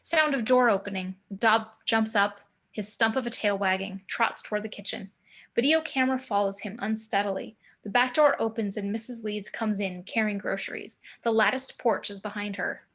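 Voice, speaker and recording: A woman reading screenplay action and scene descriptions in a measured, descriptive manner. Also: mid-range voice, a bit bright. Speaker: narrator.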